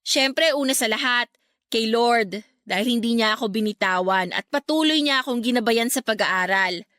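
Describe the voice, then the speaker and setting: Woman acting a high-voiced, earnest young Filipina. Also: normal speed, a bit bright, no room sound, clean studio sound, natural voice. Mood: grateful, warm. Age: young adult. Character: grateful, wistful young woman, newly graduated, missing her late love. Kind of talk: Filipino radio drama acting.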